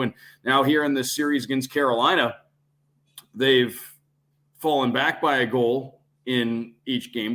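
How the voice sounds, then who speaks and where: A man's voice: mid-range voice, a bit bright. A man who works as a broadcaster, giving fluent, expansive sports analysis as a podcast guest.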